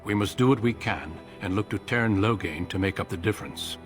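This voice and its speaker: An actor playing a grave, seasoned middle-aged warrior commander in a fantasy game, measured, formal and courteous. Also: male voice, lowish voice, soft background music, lightly acted. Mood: solemn, measured. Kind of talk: fantasy video game acting.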